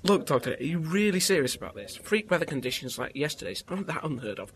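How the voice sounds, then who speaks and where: A brusque, skeptical weather official, played by a man. His voice sits a touch high. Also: big pitch swings, fast.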